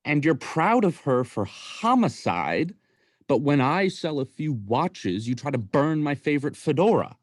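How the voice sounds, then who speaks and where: A woman's voice: low voice, very animated, a little slow, a little mellow, dry recording, heavily acted. A young woman acting in a radio play as an exasperated, incredulous uncle, a shady small-time salesman. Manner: incredulous, pleading. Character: exasperated, shady adult uncle, male watch peddler. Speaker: student actor in radio play.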